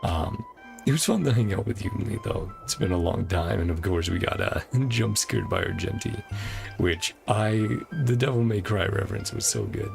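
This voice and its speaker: A man's voice: deep voice, normal speed, gravelly. A tired man chatting casually as a game streamer wrapping up, rambling and hesitant in an informal way. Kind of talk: casual stream outro chat. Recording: music playing behind voice. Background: music.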